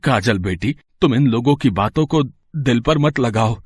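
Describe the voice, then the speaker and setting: A man's voice: medium pitch, lively intonation, normal speed, husky, no echo. A man playing a stern but kindly older father-in-law in a cartoon family drama, grave and paternal in manner. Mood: regretful, consoling. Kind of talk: Hindi cartoon family melodrama acting.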